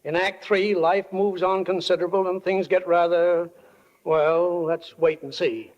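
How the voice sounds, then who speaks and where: Folksy small-town storyteller, high-pitched. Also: male voice, little pitch movement, unhurried. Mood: wry, easygoing. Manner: folksy, conversational. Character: homespun small-town stage manager narrating, plainspoken and folksy. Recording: dull old radio recording, long reverb, music behind.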